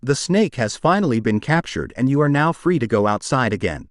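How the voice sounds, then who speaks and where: A man's voice: mid-range voice, very animated, a little fast, dry recording, clean studio sound. A man delivering scripted emergency alert narration as a broadcast announcer.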